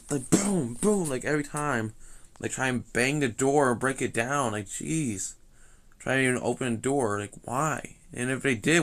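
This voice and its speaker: A young man with a slightly raspy voice telling a rambling story, full of fillers and restarts. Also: mid-range voice, fairly bright tone. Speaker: vlogger.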